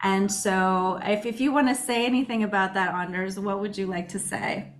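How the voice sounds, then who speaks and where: Female podcast host, supportive and patient, mid-range pitch. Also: fairly even intonation, normal speed. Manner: encouraging, lots of backchannel murmurs. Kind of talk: podcast interview.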